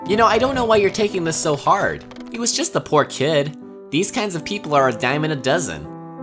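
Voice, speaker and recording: A woman voicing a comedic parody dub, her delivery sarcastic and theatrical. Her low voice leaps all over the place, dropping to flat mutters and jumping to panicked yelps. Loud music plays under her. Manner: sarcastic, dramatic swings from deadpan to frantic shouting.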